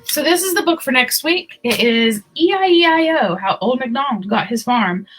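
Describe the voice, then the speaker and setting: A woman's voice: high-pitched, lively intonation, normal speed, slight background noise, poor audio. A woman hosting a picture book read-aloud, telling the story expressively with casual asides.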